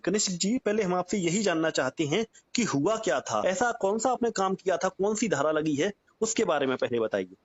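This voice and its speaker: On a muffled, dry call recording, a man with a fairly high voice conducts a news interview. He is polite and explanatory as he introduces matters and asks questions. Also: animated, brisk, few pauses, no echo. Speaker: journalist interviewer.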